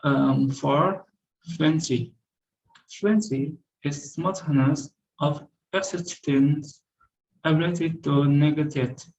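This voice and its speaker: A young man, a student reading a class presentation carefully, pausing and correcting himself. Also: fairly high pitch, darkish tone, rough recording.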